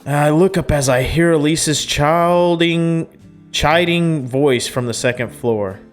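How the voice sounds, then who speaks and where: A man's voice: a little high, slow pace. Young man reading a visual novel aloud. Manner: informal, slangy, reactive. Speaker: gaming video host. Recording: music playing behind.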